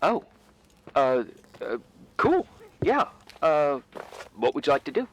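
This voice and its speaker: An awkward but playful young man in a narrative game. His lively, swinging intonation comes with halting starts and a teasing, inquisitive manner. There is faint ambience behind the voice. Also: on the high side, slight background noise. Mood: awkward, eager. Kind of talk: naturalistic game dialogue.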